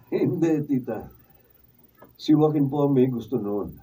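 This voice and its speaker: Filipino radio drama doctor: very dark tone, reassuring, careful, distant recording. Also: male voice, medium pitch, unhurried, slightly rough. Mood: evasive, reassuring. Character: evasive, reassuring young doctor, loyal nephew. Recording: distant mic.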